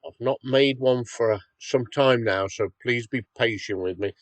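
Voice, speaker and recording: A man's voice: medium pitch, unhurried, no room sound. A man with a cold, sounding slightly nasal, walking viewers step by step through a website tool in a screen-recorded tutorial. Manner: patient, step-by-step, checks in with "okay". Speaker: website owner demonstrating a tool.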